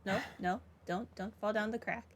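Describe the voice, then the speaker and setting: A woman's voice: medium pitch, fairly even intonation, moderate pace. A woman giving casual, playful commentary as she plays a video game, reacting to it as she goes. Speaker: gamer recording a playthrough. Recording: game music behind.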